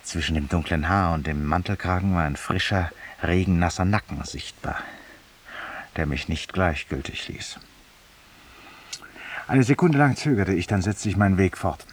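Haunted, ironic gentleman in a 1950s radio play: deliberate, confiding, ceremonious; slightly distant, hissy recording. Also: deep voice, lively intonation. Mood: haunted, reflective. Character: weary, haunted, ironic Parisian man confessing to a stranger. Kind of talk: 1950s German radio drama monologue.

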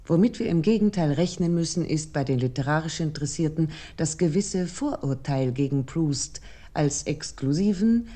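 A thoughtful essayist in a radio play, with a low-pitched voice and a dark, soft tone. She reads scripted literary prose formally and deliberately, setting out each thought with measured seriousness. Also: expressive. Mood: measured, serious. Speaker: narrator.

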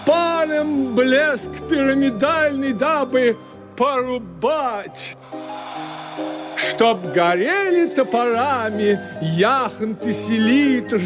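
An actor playing an eccentric, earnest islander poet who declaims absurd verse in a radio comedy. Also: male voice, very high-pitched, full character voice. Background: music behind the voice.

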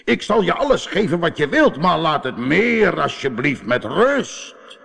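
A man voicing a big, boastful yet gullible lake giant for a fairy-tale audiobook, bellowing loudly with a booming, husky voice. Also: very animated, heavily acted. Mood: alarmed pleading. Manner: loud, drawn-out bellowing. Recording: long cavernous reverb on the voice.

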